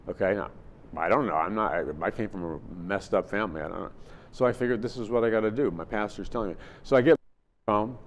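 Middle-aged male preacher on a lapel mic, telling a funny story from the podium with loud punches and hushed asides. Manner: animated, swings from loud emphatic to low asides. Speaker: conference speaker. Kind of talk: humorous sermon storytelling. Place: conference hall stage at a podium.

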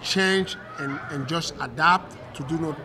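A high-voiced, animated man with a French accent speaks into a desk mic in a hotel room. He restarts and repeats words as he reaches for the English. Faint crowd chatter sits behind him. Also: a little slow, over music. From Cameroon. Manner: animated, gestures while talking, repeats words while searching for English. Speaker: MMA coach. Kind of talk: casual interview. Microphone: desk mic on stand.

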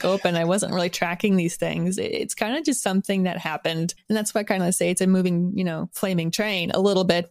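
A woman's voice: on the low side, dry recording, studio quality. A woman talking casually as an interview guest, informal and self-deprecating, often saying "like" and "kind of". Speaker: interview guest, audio drama writer.

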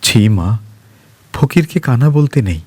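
A man voicing an anxious, kindly older mistress of a household in a storybook, urging gently in a motherly way. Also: medium pitch, animated, takes it slowly, breathy edge, no echo. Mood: chiding. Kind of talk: storybook character voice.

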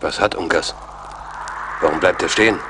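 A man's voice: low voice, normal speed, noisy background, natural voice. A man acting a weary, doubtful army major in a German radio play, formal and questioning. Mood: puzzled.

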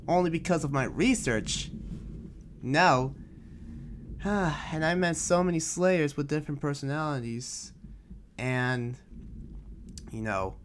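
A man's voice: on the high side, a bit bright, slight background noise. Pensive man playing a weary demon slayer researcher. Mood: weary, earnest. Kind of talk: improvised Minecraft anime roleplay. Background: music.

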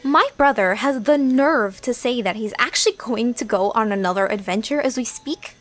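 A woman's voice: a little high, normal speed, natural voice. A woman playing an exasperated, fretful sister in an audio drama monologue. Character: exasperated, fretful sister leaving a recorded message. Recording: music under the voice.

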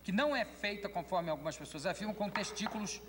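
A man's voice: sits high, expressive. Older guy hosting a tv talk show, jokey and teasing, faint studio hiss. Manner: joking, teasing, quick questions. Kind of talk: late-night talk show interview. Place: large TV studio with audience. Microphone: desk microphone. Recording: studio hall reverb, faint noise.

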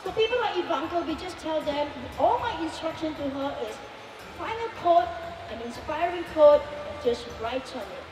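A woman's voice: sits high, lively intonation, moderate pace, distant mic. A middle-aged woman hosting a stage event, introducing a student and promoting a speaking program. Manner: upbeat, promotional. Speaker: event host, speaking coach. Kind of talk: emcee introduction. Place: indoor mall stage. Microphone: handheld mic, far camera. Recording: echoey mall heard from afar with music.

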